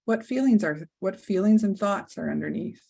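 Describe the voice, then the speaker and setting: A woman leading a guided meditation, speaking softly and soothingly with long pauses. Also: mid-range voice, expressive, gravelly, breathy edge.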